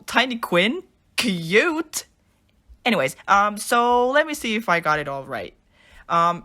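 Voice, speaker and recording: Woman acting chatty welcoming journalist gal, real bright voice, super animated, audio drama. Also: medium pitch.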